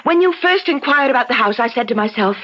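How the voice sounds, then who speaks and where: High-voiced, haughty colonial gentlewoman. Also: animated, fast. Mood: scornful. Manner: theatrical, imperious, formal diction. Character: haughty, persuasive young colonial gentlewoman, quick to scoff. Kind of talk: old-time radio drama acting. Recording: dull, lo-fi radio drama recording.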